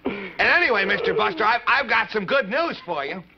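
A man's voice: high-pitched, expressive, fairly quick, a crowd in the background. A man voicing an earnest, friendly cowboy boy marionette in a folksy, exclamatory way on a 1950s children's puppet show. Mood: reassuring. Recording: dull, noisy old television soundtrack.

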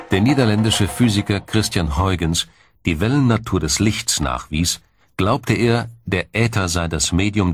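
A man with a low-pitched voice reads scripted documentary narration. His delivery is formal and measured, laying out explanations step by step. Music plays behind his voice.

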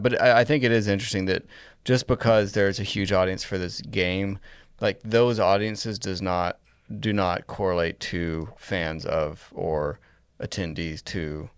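A man in his thirties co-hosting a casual podcast, speaking in a measured, tentative way close to a studio microphone. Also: low voice, creaky, smooth, no room sound. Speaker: podcast co-host. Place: small indoor podcast studio. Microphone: close boom-arm studio microphone.

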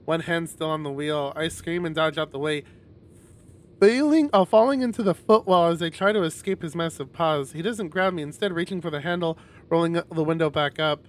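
A man reading a visual novel aloud on a stream in an expressive narrating style. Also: fairly high pitch, brisk.

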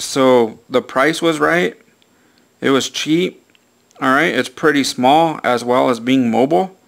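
A man's voice: a little high, creaky, a bit bright. A man talking casually through a hands-on product review in a plain-spoken, repetitive way, often punctuating with "all right".

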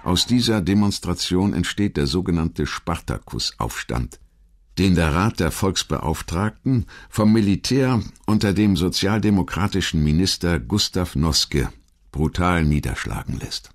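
A man reading a scripted historical documentary narration in a measured, dramatic way. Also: low-pitched, expressive.

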